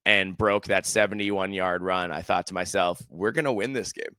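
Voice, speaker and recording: A man in his thirties co-hosting a sports podcast from a room at home on a headset mic, his voice strained from screaming at a game, excitedly rattling off stats. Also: deep voice, normal speed, clean tone, dry recording. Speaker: podcast co-host. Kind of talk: casual sports chat. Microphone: headset with studio mic.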